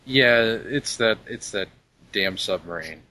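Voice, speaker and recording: A man's voice: lowish voice, slow, bright, forceful tone. A man in a casual podcast chat, giving emphatic, opinionated takes. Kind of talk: casual chat about animated films.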